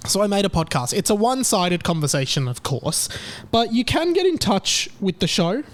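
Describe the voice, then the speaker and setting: Chatty Australian podcast host. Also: male voice, high voice, sing-song, normal speed. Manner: chatty, rambling, with fillers. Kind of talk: casual solo podcast monologue.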